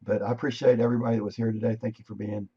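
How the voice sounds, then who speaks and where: A man reviewing his day's trades on a live stream, casual and thinking aloud, with a voice that is going hoarse. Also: fairly low pitch, little pitch movement, very fast, lo-fi sound. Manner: conversational, thinking aloud, frequent "right?". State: losing his voice, coughing. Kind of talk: casual screen-share trade recap. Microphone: desk microphone.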